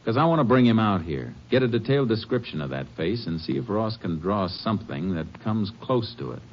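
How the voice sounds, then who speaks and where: A deep-voiced, steady Texas Ranger. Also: male voice, fairly quick. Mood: firm. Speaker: narrator and lead. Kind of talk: 1950s radio drama acting. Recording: dull old broadcast with faint hiss.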